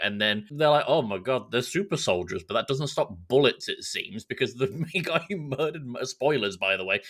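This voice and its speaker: A British man in casual podcast conversation, with a very lively, swooping delivery. His pitch is mid-range, and he is scornful, sweary and fond of acting out quotes. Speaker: podcast host. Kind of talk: casual chat.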